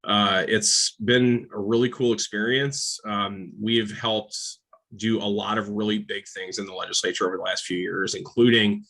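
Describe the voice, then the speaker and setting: A man from an environmental council speaking on a webinar in a relaxed, casual way, with lots of fillers. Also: on the low side, moderate pace, creaky, low-quality recording. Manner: relaxed, filler-heavy, laughs easily. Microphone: computer mic on a video call.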